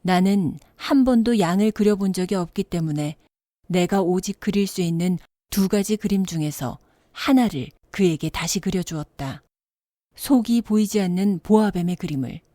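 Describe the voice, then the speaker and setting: A woman narrates a children's bedtime story with a soft, mellow timbre. Her voice sits slightly low and she reads in a measured, expressive manner. The recording is dry and close. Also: dry recording.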